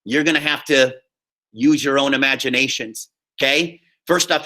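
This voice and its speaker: A man's voice: fairly high pitch, pushed and bright. A man teaching drama, giving informal, encouraging step-by-step instruction and often checking that the class follows. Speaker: high school drama teacher. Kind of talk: casual spoken instruction to a class over screen share.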